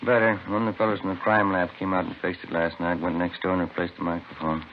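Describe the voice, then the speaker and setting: A grumbling American cop with a low voice and a folksy, fed-up manner. He sounds like a bored detective in an old radio drama, slightly noisy and muffled. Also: flat delivery, fast talker. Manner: folksy, complaining. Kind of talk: 1950s radio drama, naturalistic dialogue. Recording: dull old radio recording, faint noise.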